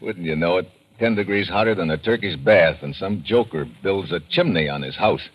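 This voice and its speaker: An unflappable American reporter-adventurer, acted by a man in an old radio play. His voice is low, and he talks in dry, casual wisecracks. There is clear noise on the vintage recording. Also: normal speed, dull audio, natural voice. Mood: cool, wisecracking. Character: wry, unflappable American newspaper correspondent adventurer. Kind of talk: old-time radio drama acting.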